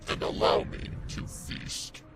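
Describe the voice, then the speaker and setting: A man's voice: slow, very noisy, lightly acted. A man voicing a hungry, demonic wendigo monster that lures its prey in a storybook horror reading. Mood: menacing, hungry. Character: demonic wendigo monster mimicking voices, slow and luring.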